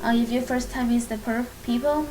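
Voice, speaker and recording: Chinese-accented woman teaching, slightly high voice. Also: fairly even intonation, a little mellow, low-quality recording. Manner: halting, searching for English words, many fillers; encouraging, reassuring. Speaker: language teacher and channel host. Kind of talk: casual spoken lesson to camera.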